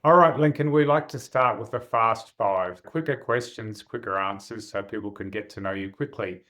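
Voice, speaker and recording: A man hosting an interview podcast, asking brisk, upbeat questions. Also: on the low side, sharp tone. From Australia. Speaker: podcast host.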